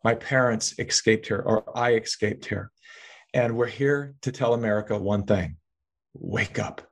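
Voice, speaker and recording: A man with a fairly low voice talks at length in an interview, earnest and rambling. He often restarts words mid-sentence as he builds his point. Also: unhurried, gravelly. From California. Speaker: filmmaker guest. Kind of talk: political interview talk.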